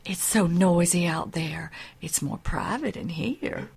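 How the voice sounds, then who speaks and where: A woman as a slow, husky, flirtatious political wife. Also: on the low side, darkish tone, exaggerated voice. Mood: seductive. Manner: flirtatious, teasing, unhurried. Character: coy, predatory politician's wife, brazenly flirtatious. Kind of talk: comedic audio drama acting.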